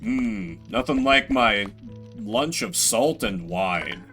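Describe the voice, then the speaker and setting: A male streamer talks casually through a video game with a wry, joking manner. His voice is bright and lively in pitch, over faint plucked-guitar game music. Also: slow pace, gravelly. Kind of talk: casual playthrough commentary, reading game text aloud.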